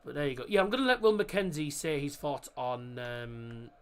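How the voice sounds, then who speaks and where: A man's voice: fairly high pitch, normal speed, fairly bright tone, clean tone. A man hosting a live football watchalong, rambling and chatting to viewers, swearing freely. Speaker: watchalong host.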